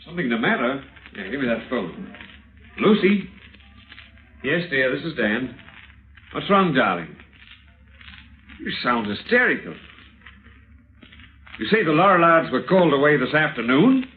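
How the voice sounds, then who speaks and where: An actor playing a grief-stricken, vengeful husband with intense, deliberate delivery in old radio melodrama style. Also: male voice, medium pitch, very animated. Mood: alarmed, frantic. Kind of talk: 1930s-40s radio acting, melodrama. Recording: noisy, scratchy, tinny old-time radio recording.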